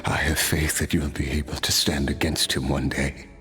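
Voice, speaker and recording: An actor playing a weary cursed immortal man, slow, grave and solemn as he speaks of his release. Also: rumbling low, level tone, sharp tone, soft background music. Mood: reflective. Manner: slow, measured, reflective speech. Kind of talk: video game voice acting.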